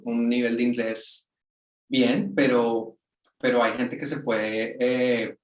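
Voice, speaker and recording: A man, a guest on a webinar, talking in a relaxed, colloquial way over a video call. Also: medium pitch. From Colombia. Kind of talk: casual webinar interview. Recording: roomy sound from off the mic.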